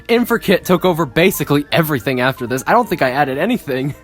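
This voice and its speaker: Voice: medium pitch, lively intonation, quick pace. A speaker reading a script aloud in a casual, amused way, breaking into laughter and bantering along the way. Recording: background music under the voice.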